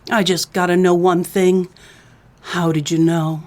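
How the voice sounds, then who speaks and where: A woman's voice: fairly low pitch, flat delivery, a little slow, darkish tone, lightly acted. A woman playing a coy, scheming femme fatale widow in a comedic noir radio play, sweet-talking with pet names. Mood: plaintive.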